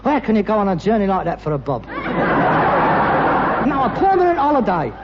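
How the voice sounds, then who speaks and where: A man's voice: high-pitched, huge pitch swings, moderate pace, over a noisy crowd, exaggerated voice. An actor playing a bitter, pretentious rag-and-bone man in a British sitcom, with a London accent and a sarcastic, mock-grand delivery. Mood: grandiose despair. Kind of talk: British sitcom acting before an audience. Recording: muffled, noisy, reverberant old sitcom soundtrack.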